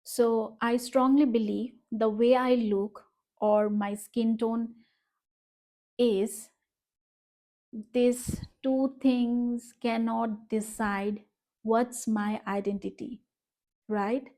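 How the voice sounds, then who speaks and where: A woman's voice: on the high side, fairly even intonation, extremely slow, pauses often, mellow. A woman from Northeast India with an Indian English accent, Bengali her first language, talking in a reflective, hesitant vlog from her room. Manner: reflective, hesitant, many fillers. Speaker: vlogger. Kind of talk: personal vlog monologue.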